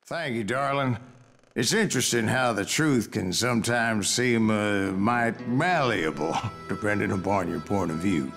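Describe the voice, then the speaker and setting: Gravelly old Western gunslinger. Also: male voice, on the low side, a little slow, a bit bright, full character voice. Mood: wry. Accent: American. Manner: drawling, folksy storyteller. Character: grizzled old male Western gunslinger telling tall tales. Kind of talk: scripted in-character narration. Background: music.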